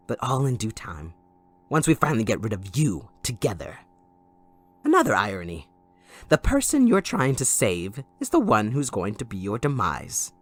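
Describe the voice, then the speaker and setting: A man playing a sadistic, possessive supervillain kidnapper in an audio roleplay, taunting theatrically and mock-sweetly, moving between hushed menace and outbursts. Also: lowish voice. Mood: gloating, menacing. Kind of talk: dramatic audio roleplay monologue.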